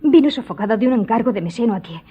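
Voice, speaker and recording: An actress playing an anxious, dutiful young lady in a radio drama, with a breathy, husky voice. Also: fairly high pitch, expressive, quick pace, narrow, tinny audio.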